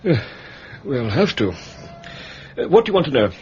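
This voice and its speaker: Fussy English bank manager, muffled recording. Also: male voice, mid-range voice, sing-song, unhurried, faint music behind. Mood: flustered, guarded. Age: middle-aged. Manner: hesitant, formal, fussy phrasing. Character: fussy, discreet male bank manager, middle-aged, awkwardly proper. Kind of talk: British period detective radio drama acting. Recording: muffled radio recording, clear background noise.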